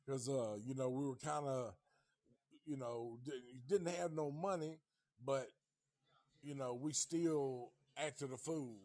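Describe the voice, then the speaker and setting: Slow-talking laid-back man of fifty. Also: mid-range voice, mellow, no echo, clean studio sound. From Nashville, Tennessee. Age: 50s. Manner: rambling, profane, laid-back. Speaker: livestream host. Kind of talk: casual livestream chat.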